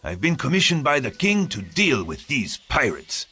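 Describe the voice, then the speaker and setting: An actor playing a proud, commanding Korean admiral in a video game, speaking in formal declarations. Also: male voice, medium pitch, wildly swooping pitch, moderate pace, pushed and bright, breathy edge, soft background music, full character voice.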